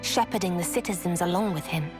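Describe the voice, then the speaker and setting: A woman acting a solemn, otherworldly young woman, the calm conscience of a godlike being, in a video game cutscene. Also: on the low side, fast, music in the background, natural voice. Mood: calm, grave.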